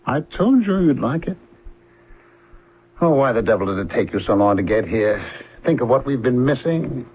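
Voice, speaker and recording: An actor playing an ardent, needy suitor in a 1970s radio drama, husky and fervent. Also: male voice, medium pitch, sing-song, moderate pace. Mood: eager, yearning. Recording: thin, phone-like old radio recording.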